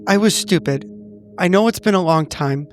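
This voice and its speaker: A man's voice: sits high, normal speed, soft background music, lightly acted. A man playing a desperate, regretful man begging an old lover back, stammering and pleading.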